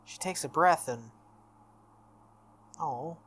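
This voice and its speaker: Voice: medium pitch, lively intonation, normal speed, fairly bright tone. A video game playthrough host reading visual novel text aloud with casual reactions, stumbling over and repeating words. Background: game music.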